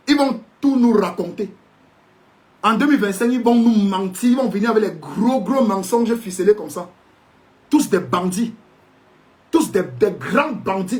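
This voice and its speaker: A man with a Cameroonian French accent delivers an indignant, preachy rant. His voice sits high for a man, and he turns sarcastic, mixing in mocking English. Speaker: commentator. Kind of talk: ranting video commentary.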